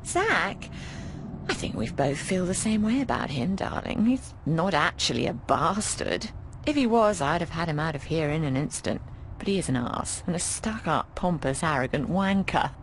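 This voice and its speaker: Casting: middle-aged British landlady, fond and cheeky, animated delivery, game dialogue over noisy ambience. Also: sits low, moderate pace. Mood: blunt, scornful. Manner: affectionate, frank, cheeky, often calls people darling. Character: warm, bawdy middle-aged British landlady of a boarding house. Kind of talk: video game voice acting.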